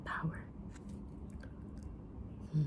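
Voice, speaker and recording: A woman playing a kind, mysterious witch fortune teller in an ASMR roleplay, whispering gently and deliberately with pauses. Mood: calm, mysterious. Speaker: ASMR roleplayer reading tarot cards.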